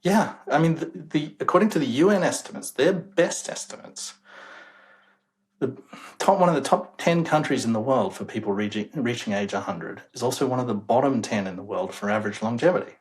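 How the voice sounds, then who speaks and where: A man's voice: mid-range voice, a little fast. Young guy researcher on a podcast, emphatic, kinda incredulous, mid voice. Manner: emphatic, incredulous, chuckles while making points. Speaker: demographer guest. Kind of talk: casual interview talk.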